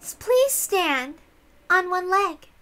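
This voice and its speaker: A woman voicing a cruel, coldly polite young lady who gives slow, deliberate commands. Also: very high-pitched, animated, fairly bright tone, pitched above the performer's own voice, exaggerated voice. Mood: cruelly polite.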